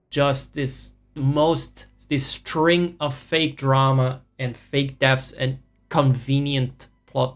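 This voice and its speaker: A man's voice: mid-range voice, fairly bright tone. A man livestreaming a video game, giving casual commentary in a profane, sarcastic, ranting way. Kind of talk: casual live gameplay commentary.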